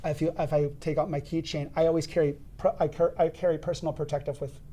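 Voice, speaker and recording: A man explaining CPR steps as an instructor in a podcast studio, with a loud, emphatic teaching voice and frequent restarts. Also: fairly high pitch, level tone, fast talker.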